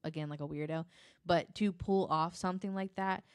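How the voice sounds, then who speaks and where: A woman co-hosting a casual podcast chat, informal and often halting as she searches for words. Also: on the low side, steady tone, clear, resonant tone, dry recording, clean studio sound. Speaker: podcast co-host.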